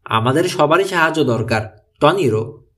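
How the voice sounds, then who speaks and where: A man's voice: a little high, expressive, a little slow, a bit bright. A man voicing a clever, bold teenage boy detective, explaining earnestly in an audiobook. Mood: earnest, reassuring. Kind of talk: audiobook character dialogue.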